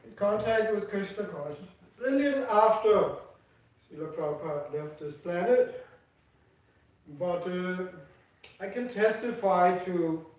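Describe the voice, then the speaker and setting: An older man speaks slowly and haltingly, often restarting mid-phrase with repeated words. His voice sits a little high for a man. He sounds far from the mic in a very echoey temple hall. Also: lively intonation, darkish tone. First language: Danish. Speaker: devotee giving an offering speech. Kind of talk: personal tribute speech.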